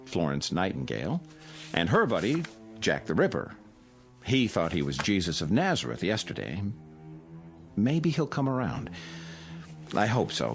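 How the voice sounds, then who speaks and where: A deep, expressive voice plays a condescending psychiatrist in an audio drama. He is measured and intellectual, calmly patronizing as his pitch rises and falls. Music plays clearly behind him. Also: full character voice. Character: calm, condescending male psychiatrist at a mental institution. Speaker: actor.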